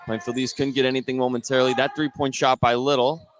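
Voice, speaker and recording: A man's voice: medium pitch, little pitch movement, fast talker, slight background noise. A man doing live radio play-by-play of a basketball game, describing the action in short clipped phrases. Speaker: play-by-play sports commentator. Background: basketball game with bouncing ball.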